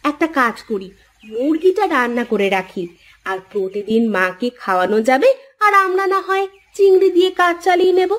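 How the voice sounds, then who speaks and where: A woman playing a shrewd, thrifty village wife in a cartoon, speaking in a sweetly coaxing, expressive way. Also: high voice, normal speed. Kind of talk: cartoon story acting.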